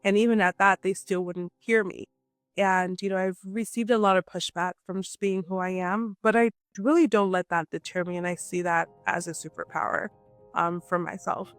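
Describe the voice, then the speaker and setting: A woman's voice: on the low side, fairly even intonation, normal speed, no room sound, soft background music. A woman from Nigeria, a guest entrepreneur, talking animatedly and emphatically in a casual interview, often checking in with "right?".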